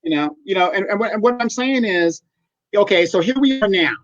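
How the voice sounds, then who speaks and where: A man's voice: high voice, expressive, a little fast, no echo. A man in his fifties co-hosting a live discussion, blunt and emphatic as he argues his point. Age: 50s. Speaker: co-host. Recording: dry, muffled lo-fi audio.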